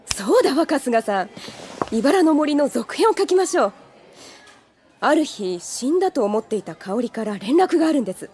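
High, husky, eager woman. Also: very animated, moderate pace, a little mellow, full character voice. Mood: excited. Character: eager, excitable woman with story ideas. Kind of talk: anime audio drama acting.

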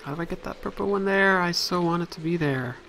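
A man's voice: high-pitched, fast talker, creaky, bright, forceful tone, slight background noise. A man giving casual let's play commentary, thinking aloud as he plays.

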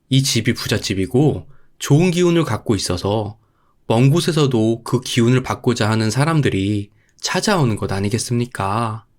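A man voicing a calm, earnest Buddhist monk who gives measured counsel in a folktale. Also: on the low side, lightly acted.

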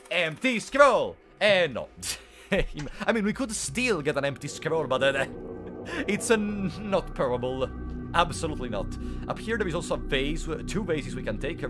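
Lively man streaming a game. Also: on the high side. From Italy. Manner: chatty, playful, talks to chat. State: sore throat. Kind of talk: casual live-stream commentary.

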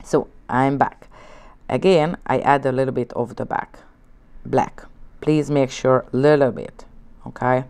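Slow, gentle Hungarian-accented woman teaching. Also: a bass voice, clean tone. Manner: slow, gentle, coaxing instructions with frequent "okay?". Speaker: nail art instructor. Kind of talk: relaxed tutorial narration while working. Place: indoor nail studio desk, hands on camera from above.

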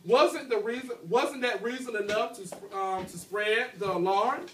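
A man's voice: sits high, unhurried. A man voicing a defensive, self-justifying crow in a storybook folktale. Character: defensive crow blaming a rabbit in animal folktale. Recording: echoey, noisy classroom, recorded from far off.

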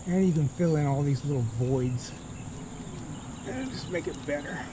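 Middle-aged man, slightly high voice, easygoing step-by-step explainer, close camera outdoors, crickets loud behind. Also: moderate pace, very noisy. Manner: relaxed, explanatory, walking through each step. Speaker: boat refit vlogger. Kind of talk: casual how-to narration. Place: outdoor boatyard under a hull. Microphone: handheld camera at close range.

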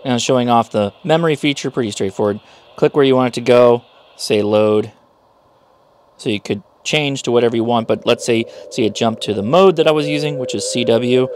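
A man's voice: fairly low pitch, darkish tone, slight background noise. A man in his thirties giving a casual hands-on review as he demonstrates a device. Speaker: host, product reviewer. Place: small indoor workshop room.

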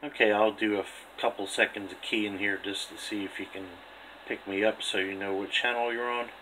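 A man with a fairly low voice talks casually through a two-way radio test. He is recorded indoors on a handheld camera, with faint noise behind him. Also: steady tone, fairly quick, pushed and bright, poor audio. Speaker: two-way radio operator demonstrating equipment. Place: indoor room beside a radio set.

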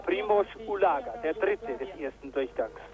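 A man giving live commentary on a ski jumping event, getting excited on big jumps, from an old broadcast tape. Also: a little high, moderate pace, over music. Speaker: sports commentator.

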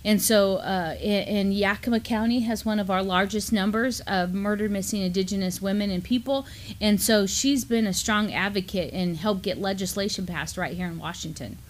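A middle-aged woman co-hosting a news discussion in a conversational style, with halting, filler-heavy delivery. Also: medium pitch. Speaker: co-host and university lecturer. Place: small studio, seated at a table.

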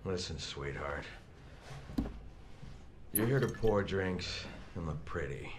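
Deep, surly, burnt-out soldier. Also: male voice, expressive, slow, background noise. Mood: surly, drunk. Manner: gruff, surly. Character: bitter, drunken, burnt-out male soldier in a bar. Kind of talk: cinematic video game acting.

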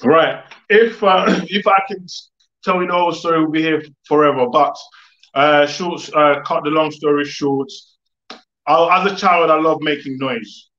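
A young man telling his story as an interview guest, in a casual, reflective way with halting phrasing. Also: on the high side, fairly even intonation, bright, forceful tone. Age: young adult. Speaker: guest, music producer. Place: living room, video call. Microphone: video call. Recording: echoey room, dull video-call audio.

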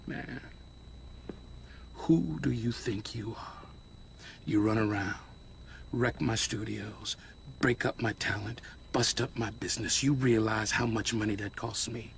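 An actor playing a vengeful crime boss and studio owner in a video game, threatening and taunting. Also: male voice, lowish voice, unhurried, creaky, pauses often, slight background noise. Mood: menacing. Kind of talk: video game cutscene acting.

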